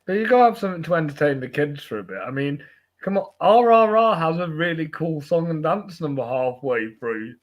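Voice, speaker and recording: A man hosting a film podcast, chatting casually and haltingly, with false starts along the way. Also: a little high, lively intonation.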